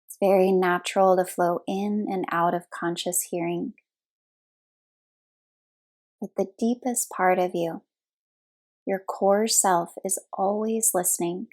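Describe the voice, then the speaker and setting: A woman leading a guided relaxation meditation as a yoga nidra instructor, speaking soothingly in short, unhurried phrases with long pauses. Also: on the low side, steady tone, darkish tone.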